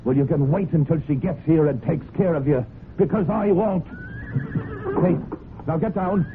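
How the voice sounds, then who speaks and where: A man playing a surly, drunken horse trainer in a 1970s radio drama, with rough, folksy, grumbling speech. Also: on the high side, normal speed, close to an everyday voice. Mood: angry, alarmed. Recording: narrow-band old radio, loud noise.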